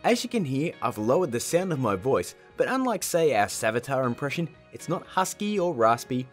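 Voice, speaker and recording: Chatty guy in his 30s doing a tutorial, really animated voice, music behind. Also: medium pitch, moderate pace. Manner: playful, chatty, informal. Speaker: tutorial host. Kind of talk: casual scripted video tutorial.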